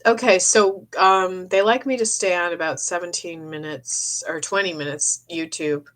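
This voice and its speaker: A middle-aged woman with a cold, losing her voice, chatting in a meandering way on a live stream and often clearing her throat. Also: lowish voice, fairly even intonation, normal speed, fairly bright tone. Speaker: psychic medium streaming live. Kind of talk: rambling live-stream chat. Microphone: phone or webcam for a live stream.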